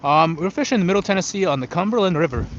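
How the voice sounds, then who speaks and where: A man's voice: high-pitched, lively intonation, very fast, lo-fi sound, a little hiss or hum. A young man from Ukraine who learned English later, chatting casually and informally as he hosts an outdoor fishing livestream and answers viewers. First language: not English, learned it after moving to the US. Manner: informal, switches between English and Russian. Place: outdoors on a riverbank in wind.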